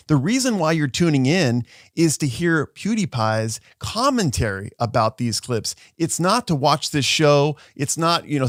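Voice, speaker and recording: A man's voice: mid-range voice, no echo, clean studio sound. A man who works as a social media lawyer, giving an upbeat explainer and walking viewers through video clips.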